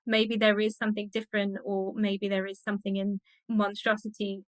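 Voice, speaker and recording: A woman's voice: mid-range voice, completely flat delivery, moderate pace, no echo. A woman speaking as an expert guest in a conversational interview, explaining her thoughts with enthusiasm.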